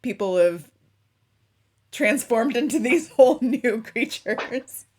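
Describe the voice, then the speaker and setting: A woman hosting a casual interview, relaxed and easygoing with casual slang. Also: fairly high pitch, takes it slowly. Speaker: interviewer, host. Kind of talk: casual conversation.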